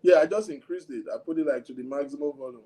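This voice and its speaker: Chatty Nigerian man, mellow voice. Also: fairly high pitch, quick pace, low-quality recording. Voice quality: self-described big voice. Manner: rambling, code-switching, chatty, talks to viewers directly. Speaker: livestream host. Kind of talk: casual livestream chat.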